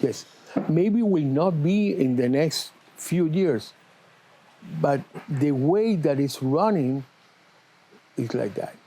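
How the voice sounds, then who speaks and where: A man with a Spanish accent, a shop owner from Cuba and Venezuela, answering interview questions in earnest, halting English with non-native grammar, recorded in an auto repair shop. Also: a little high, animated, mellow, slight background noise, low-quality recording. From born in Cuba, lived in Venezuela.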